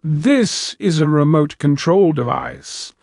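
A man's voice: a little high, slow, darkish tone, dry recording, studio quality, voice pushed up. A man voicing a haughty elder male cat-like alien villain, contemptuous and threatening in an audiobook. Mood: gloating menace. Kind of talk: audiobook character voice.

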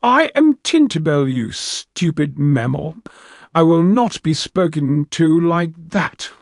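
A man's voice: on the high side, lively intonation, takes it slowly, creaky, no echo, clean studio sound, pitched above the performer's own voice, full character voice. A man voicing a haughty elder male cat-like alien villain, contemptuous and threatening in an audiobook. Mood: scornful. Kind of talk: audiobook character voice.

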